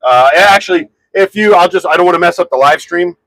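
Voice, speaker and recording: A man hosting a casual live stream from his garage, rambling and answering viewers' comments in a very loud voice. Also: high-pitched, brisk, dry recording, low-quality recording. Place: home garage.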